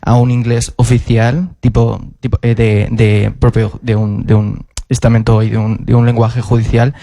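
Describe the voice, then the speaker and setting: A man's voice: medium pitch, level tone, normal speed, vocal fry, dark tone, no echo, studio quality. A man with a Spanish accent from Spain asking long, carefully built questions from the audience, restarting often. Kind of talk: formal question from the floor. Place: auditorium.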